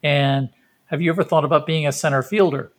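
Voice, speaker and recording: A man's voice: fairly high pitch, fairly even intonation, a little fast. A man co-hosting a sports podcast, giving casual, reflective baseball commentary and thinking aloud.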